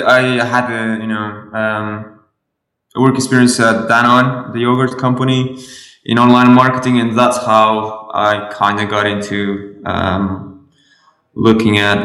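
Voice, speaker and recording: A man from Portugal, speaking as a podcast guest in a halting way with many restarts. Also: fairly low pitch, monotone, fairly bright tone, roomy sound, low-quality recording. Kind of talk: interview conversation.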